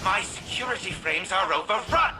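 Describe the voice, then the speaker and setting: A man's voice: high-pitched, expressive, moderate pace, bright, forceful tone, a little hiss or hum, exaggerated voice. An actor playing an imperious, scornful old male tycoon-scientist in a video game, pompous, demanding and cutting. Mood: irritated, scornful. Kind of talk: scripted video game dialogue.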